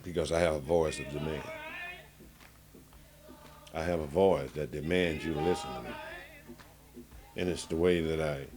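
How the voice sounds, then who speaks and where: A man's voice: extremely low pitch, normal speed, long pauses, crowd noise. A man who sings and plays blues guitar, talking in an interview in an emphatic, colloquial, demonstrative way. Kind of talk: casual interview.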